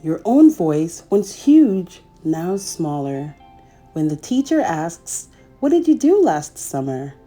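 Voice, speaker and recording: A woman's voice: on the low side, sing-song, slow pace, warm tone, faint music behind. A woman hosting a children's read-aloud, telling a picture-book story expressively and speaking directly to young listeners.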